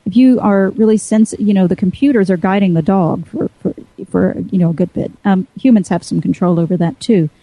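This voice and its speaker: A woman's voice: mid-range voice, brisk, hardly any pauses, mellow, no room sound, rough recording. A woman chatting casually on a podcast panel, hesitant, with many fillers and restarts.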